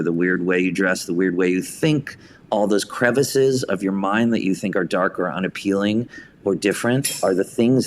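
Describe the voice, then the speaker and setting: An American man talking reflectively as an interview guest about his creative work. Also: sits low. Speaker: podcast guest, theater writer and director.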